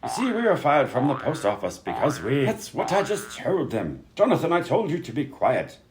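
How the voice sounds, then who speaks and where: An actor voicing peevish, officious, bickering conjoined twins in a comedy radio play. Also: male voice, medium pitch, wildly swooping pitch, moderate pace, noisy background. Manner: squabbling, peevish, swinging between two personas.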